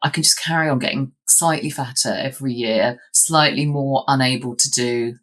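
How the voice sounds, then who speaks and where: A woman's voice: rumbling low, steady tone, moderate pace, a bit bright. A woman, telling her story as an interview guest, chatty with frequent ums and restarts. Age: 50s. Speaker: guest, lawyer. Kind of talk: candid interview answers.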